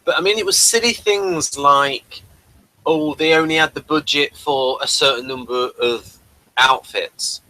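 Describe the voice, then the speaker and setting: A man's voice: fairly high pitch, measured pace, bright, forceful tone, poor audio. A man hosting a casual review chat, explaining things and giving his opinions. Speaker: podcast host reviewing classic TV episodes.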